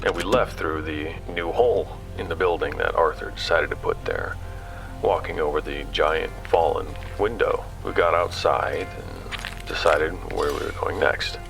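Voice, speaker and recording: Actor as a low-voiced, halting male explorer. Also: vocal fry, full character voice, low-quality recording. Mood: reflective. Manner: halting, conversational, stumbles over words. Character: curious male explorer recounting his expedition into a recorder. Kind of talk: audio drama acting, hesitant recorded-log narration. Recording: music bed under the voice.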